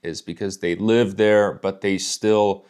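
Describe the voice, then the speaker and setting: Very slow, deliberate male English teacher. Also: on the low side, fairly even intonation, a little mellow, clean tone. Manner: very slow and deliberate, repeating words for learners. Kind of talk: slow English listening lesson.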